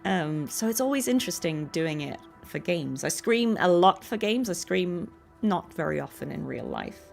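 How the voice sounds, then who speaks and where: A woman's voice: fairly low pitch, sing-song, normal speed, vocal fry, darkish tone, soft background music. A woman chatting casually and affectionately to viewers on a livestream from home, giggly and chatty. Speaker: streamer and voice actress.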